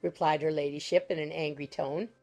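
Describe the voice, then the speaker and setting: Lowish-voiced woman narrating a novel. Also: fairly even intonation, a little fast, darkish tone, soft background music. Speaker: audiobook narrator. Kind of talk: scripted literary narration.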